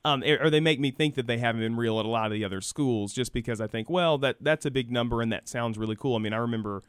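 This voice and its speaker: Fast-talking man, conversational radio guest. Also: medium pitch, smooth, no echo. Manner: conversational, explanatory, often checks with "right?". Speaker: radio show guest, university program director. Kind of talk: live radio interview, answering callers.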